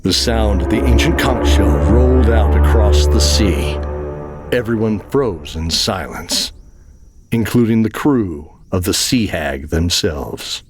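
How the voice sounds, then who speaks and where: A man's voice: fairly low pitch, slow, over loud music. A man narrating a scripted fantasy adventure story as the narrator of an audio drama.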